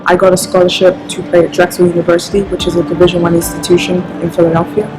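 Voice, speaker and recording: A woman from London, a basketball coach and team director, speaking in a measured, earnest interview and often saying "you know". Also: lowish voice, completely flat delivery, rough recording. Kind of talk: interview talk to camera. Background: music.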